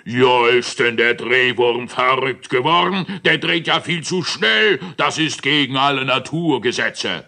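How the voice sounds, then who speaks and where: A man putting on a gravelly, breathless moon giant. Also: medium pitch, expressive, unhurried, hardly any pauses, sharp tone, voice pushed up. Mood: grumbling. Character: loutish panting male moon giant, grumbling. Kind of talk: storybook reading.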